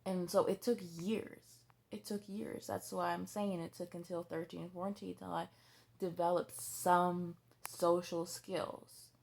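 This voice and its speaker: Twenty-something woman vlogging, low voice, slow, keeps restarting, swears a lot. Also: little pitch movement, gravelly, a little mellow. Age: 20s. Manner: halting, hesitant, with many restarts and fillers; blunt and candid, swearing freely. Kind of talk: personal confessional vlog.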